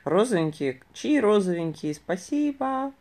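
A woman's voice: medium pitch, big pitch swings, unhurried, a little mellow. A woman in her thirties co-hosting a livestream in casual chat, picked up by a phone at arm's length. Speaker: livestream co-host. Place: small room indoors, lying back on pillows.

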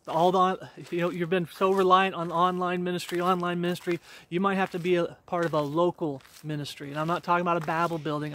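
A man's voice: a little high, a bit bright, slight background noise. A man in his forties preaching earnestly while walking outdoors on a wooded road, talking into a camera held at arm's length, repeating himself with many fillers and restarts. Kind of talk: walk-and-talk devotional preaching. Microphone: handheld camera at arm's length.

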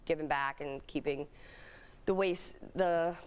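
Woman guest on a tv morning show, kinda low voice, casual and jokey. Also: darkish tone, smooth. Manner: casual, breezy, quick to joke. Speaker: drink company founder, guest. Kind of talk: TV studio interview. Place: TV studio.

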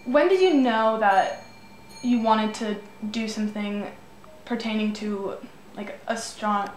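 A woman's voice: medium pitch, slow pace, slight background noise. A teenage girl student setting up and asking interview questions, hesitant, with many ums. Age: teens. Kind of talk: polite phone call and interview questions. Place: indoor room. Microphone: camera across the room. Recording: echoey room, faint music.

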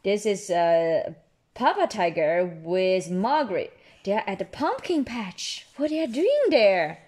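A woman's voice: medium pitch, very animated, unhurried, darkish tone. A woman reading a picture book aloud to a child, explaining simply and asking questions as she goes. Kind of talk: animated read-aloud storytelling with asides to a child. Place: indoors at home, seated with book on lap.

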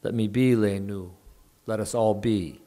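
A man's voice: on the low side, steady tone, a little slow, natural voice. A man reading as a scornful older woman. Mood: sharp, scornful. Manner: sharp, mocking, threatening. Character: older woman, bitter and fierce, scornful friend.

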